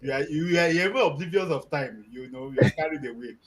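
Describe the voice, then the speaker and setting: A man from Nigeria on an interview panel, explaining slowly and deliberately with fillers. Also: a little high, animated. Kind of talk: casual conversation. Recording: crowd chatter in background.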